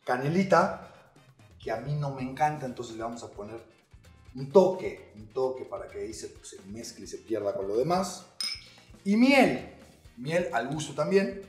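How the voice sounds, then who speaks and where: A man's voice: mid-range voice, very animated, normal speed, roomy sound, soft background music. A young man presenting a recipe in a casual, playful cooking segment, talking straight to his viewers. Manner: upbeat, playful, addressing viewers directly.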